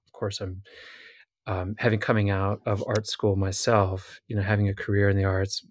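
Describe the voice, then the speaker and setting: Halting, teasing man co-host. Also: lowish voice, little pitch movement, a little hiss or hum. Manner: halting, teasing, many restarts. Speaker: podcast co-host. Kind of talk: casual interview.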